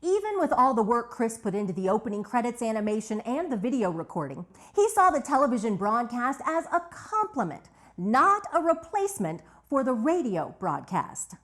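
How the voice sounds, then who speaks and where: Female documentary narrator, higher voice with animated intonation, scripted read. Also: normal speed, few pauses.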